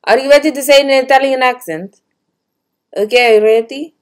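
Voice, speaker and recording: A woman's voice: sits high, fast talker. A young woman teaching a kids' Bible lesson in a playful way, trying out silly accents. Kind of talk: playful scripted kids lesson.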